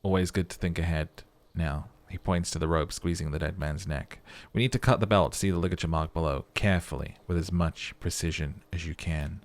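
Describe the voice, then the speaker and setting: Deep-voiced man narrating a video game. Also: steady tone, a little fast. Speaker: let's-play host reading game text. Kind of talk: scripted game narration with casual commentary.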